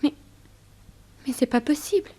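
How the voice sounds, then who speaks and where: A woman's voice: high voice, animated, measured pace, mellow. A woman playing a genteel, trusting young lady in a 1960s French radio drama, refined and emotional. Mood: indignant, disbelieving.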